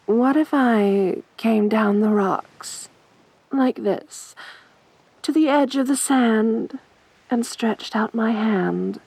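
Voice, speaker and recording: A woman playing a possessive, teasing siren in a soft, breathy voice for an ASMR roleplay, with a seductive, toying manner. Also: a little high, no echo, full character voice, rough recording. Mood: teasing, menacing. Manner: slow, seductive, toying, assertive. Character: beautiful sadistic siren, winged monster woman, teasing, possessive.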